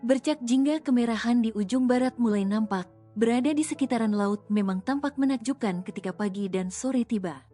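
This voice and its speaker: A woman narrating a romance audio novel in a steady, smooth storytelling manner. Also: on the high side, fairly quick. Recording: soft music underneath.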